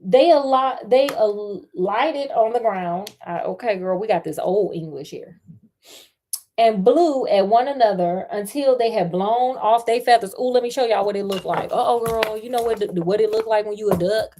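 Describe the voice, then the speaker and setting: A woman's voice: medium pitch, moderate pace, dark tone, slight background noise. An American woman reading a fairy tale aloud, breaking off into sassy, chatty, colloquial joking commentary. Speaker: storyteller and host.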